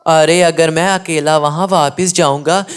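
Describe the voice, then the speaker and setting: A man's voice: on the high side, fairly even intonation, measured pace, smooth, natural voice. A man voicing a timid, pleading male pigeon in a cartoon story, with a plaintive way of talking.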